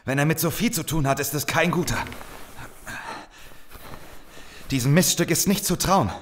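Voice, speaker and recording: An actor playing a battered, distrustful survivor soldier in an audio drama, blunt and skeptical. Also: male voice, a little high, quick pace, a little hiss or hum, close to an everyday voice. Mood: incredulous. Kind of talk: German audio drama acting.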